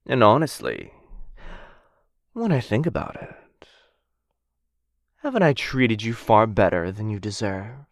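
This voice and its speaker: A man's voice: low voice, dry recording, clean studio sound, heavily acted. A man playing a smug, menacing, possessive dragon captor in a fantasy ASMR roleplay, drawling condescendingly and theatrically.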